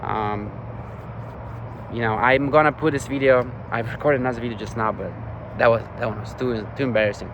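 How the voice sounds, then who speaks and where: A man's voice: medium pitch, brisk, background noise. A young man from Ukraine with a European accent, rambling casually through a vlog update with lots of filler words. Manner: rambling, many "like" and "you know" fillers. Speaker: vlogger.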